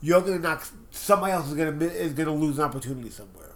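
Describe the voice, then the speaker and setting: A man with a slightly high voice and a stuffed-up nose, talking through his views in quick, opinionated bursts. He co-hosts a relaxed wrestling chat podcast, and he pushes his points hard and at length. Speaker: podcast co-host.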